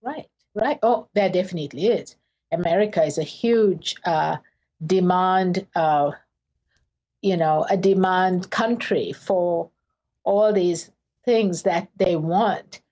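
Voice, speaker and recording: A woman's voice: on the low side, slow pace, dark tone. A woman from Sri Lanka, a professor, answering interview questions earnestly in short phrases with fillers.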